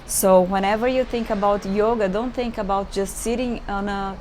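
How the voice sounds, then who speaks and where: A woman's voice: medium pitch, steady tone, moderate pace, a little mellow, poor audio. Brazilian woman yoga teacher, mid-range voice, hesitant non-native English, camera mic with faint room noise. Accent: Brazilian Portuguese. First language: Portuguese. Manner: hesitant, searching for English words, many fillers. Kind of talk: unscripted yoga introduction to camera. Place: indoors at home, seated on a chair.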